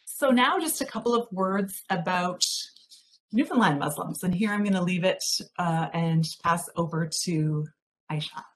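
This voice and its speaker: A woman presenting a history talk over slides on a video call, measured and explanatory with frequent fillers. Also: fairly low pitch, expressive. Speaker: project researcher presenting a talk.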